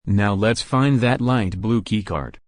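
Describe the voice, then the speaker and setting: A man's voice: lowish voice, slow, no room sound, studio quality. A man playing an observant member of a monster-fighting team, speaking short plain lines in an amateur game drama. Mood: alarmed.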